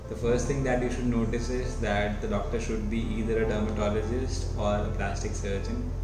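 A man in his thirties with an Indian English accent, a dermatologist giving methodical, instructive advice to camera from his clinic office. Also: on the low side. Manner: measured, instructive, methodical listing. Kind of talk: scripted explainer to camera. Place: clinic office, seated at desk. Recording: roomy sound with loud music bed.

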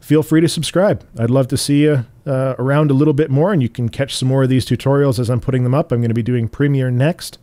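A man with a bassy voice walks through software steps in a relaxed, explanatory way, with fillers and restarts. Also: fast talker, hardly any pauses, dry recording. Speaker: tutorial host. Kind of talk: screen-recorded software tutorial.

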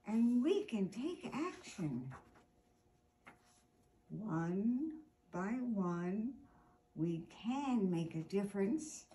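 Slow, expressive older woman reading to children. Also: mid-range voice. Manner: deliberate, expressive, with drawn-out words for emphasis. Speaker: storytime host reading a picture book aloud. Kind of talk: children's storytime read-aloud. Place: indoor room with armchair.

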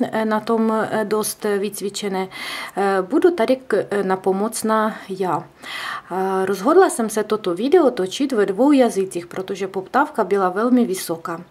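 A woman teaching crochet, pausing often with filler sounds, speaking Russian with a Czech accent. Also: medium pitch. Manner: hesitant, many filler sounds. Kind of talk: tutorial explanation. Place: indoors at a table.

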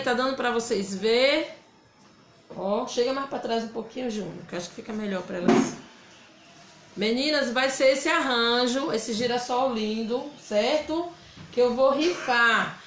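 A woman's voice: a little high, a bit bright, a little hiss or hum. A woman from Bahia with a Bahian Brazilian accent, hosting a casual live craft demonstration and chatting with viewers by name. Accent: Bahian Brazilian Portuguese. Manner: chatty, greets viewers by name and reads out their comments. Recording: roomy echo.

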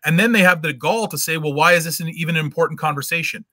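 A middle-aged man with a bright, slightly high voice presses his argument in an online debate. He lectures insistently and repeats words to hold the floor, heard dry over a home webcam call. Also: quick pace, dry recording. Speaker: debate guest. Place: home room. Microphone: webcam video call.

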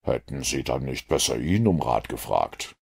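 A man voicing a cool, shrewd gentleman detective in an audiobook, with a measured, dry, authoritative manner. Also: a bass voice, lively intonation, vocal fry, slightly rough, pitched below the performer's own voice, full character voice. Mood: curt.

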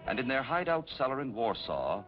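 A middle-aged man presenting a 1950s scripted narration in a measured, formal, dramatic manner. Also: mid-range voice, sing-song, music in the background. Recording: dull vintage 1950s film soundtrack, faint hiss.

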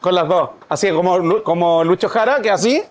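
Lively Chilean man, casual chat. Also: high voice, fairly quick, a little hiss or hum. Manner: lively, laughs often, slangy. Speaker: podcast guest, musician.

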